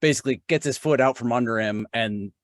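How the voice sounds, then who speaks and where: A man's voice: mid-range voice, quick pace, dry recording. Man casually narrating a tabletop game, dry sound.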